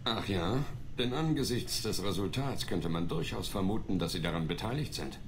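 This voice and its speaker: A man's voice: low-pitched, expressive, moderate pace, slight background noise. A man playing a resolute space commander with controlled, sardonic authority in a video game. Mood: challenging. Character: resolute, dry-witted military commander, male space hero. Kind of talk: dramatic video game acting.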